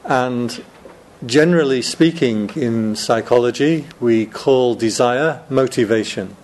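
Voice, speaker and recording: A man speaking unhurriedly to a student audience as a monk giving a casual Dharma talk. He chats, teases his listeners and laughs at his own jokes, with a middling pitch and faint room noise behind him. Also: slow pace, darkish tone. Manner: chatty and joking, laughs often.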